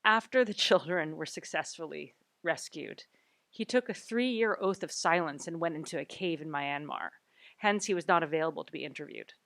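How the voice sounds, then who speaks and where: Low-voiced woman answering with many fillers. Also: vocal fry, fairly bright tone, no echo. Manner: halting, full of fillers and restarts. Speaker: film director. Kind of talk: Q&A panel answers. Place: cinema auditorium.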